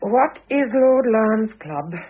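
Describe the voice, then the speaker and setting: An actress playing a shrewd, commanding first wife in an old radio drama, coolly insistent and articulate, on a muffled recording. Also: female voice, a little high, lively intonation, slow. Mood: accusing, cool.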